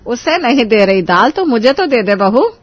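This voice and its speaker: A woman's voice: fairly high pitch, very animated, normal speed, dry recording. A woman playing a bossy, complaining, food-loving middle-aged mother-in-law in a story. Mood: demanding.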